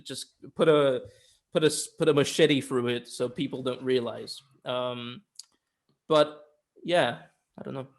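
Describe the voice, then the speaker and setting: A man's voice: medium pitch, steady tone, a little slow. A man co-hosting a film review show in casual discussion, opinionated and informal, given to swearing. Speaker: film review podcast co-host.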